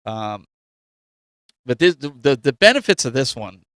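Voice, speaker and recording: This is a man co-hosting a gaming show. He speaks in a medium-pitched voice with an informal, chatty style. The recording is dry and free of room echo. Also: very animated, a little slow, a bit bright. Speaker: co-host of a gaming news show. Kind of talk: casual chat.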